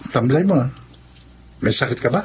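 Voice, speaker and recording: A man's voice: mid-range voice, animated, normal speed, noisy background, natural voice. A man playing a concerned, easily flustered young Filipino friend, talking colloquially in a muffled radio drama.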